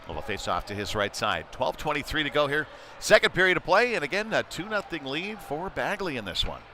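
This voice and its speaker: A man with a mid-range voice commentates a live ice hockey game. He calls the play in short, clipped fragments. Clear noise from the rink runs behind his voice throughout. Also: medium pitch, sharp tone. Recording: noisy rink ambience behind voice.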